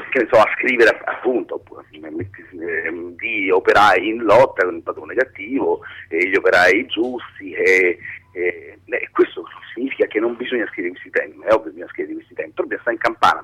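A man's voice: lowish voice, a bit bright, husky, poor audio. A man giving an informal radio phone interview as a writing teacher, rambling and often interrupting himself as he explains. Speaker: screenwriting teacher being interviewed.

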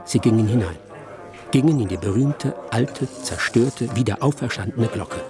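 A man's voice: mid-range voice, normal speed. A man narrating a literary radio drama in rhythmic, clipped phrases. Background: faint music.

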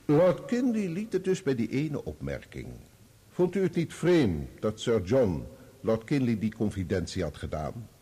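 An actor in a radio play voicing a probing, sardonic barrister, formal and full of pointed rhetorical questions. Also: male voice, medium pitch, sing-song, a little slow, warm tone, husky. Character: probing, sardonic male barrister cross-examining a witness. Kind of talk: courtroom radio play acting.

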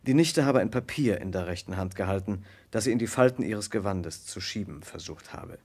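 An actor playing an earnest young American lawyer who narrates a murder case in a measured, precise way in a vintage German radio play. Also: male voice, lowish voice, lively intonation, a little mellow. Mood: measured, grave.

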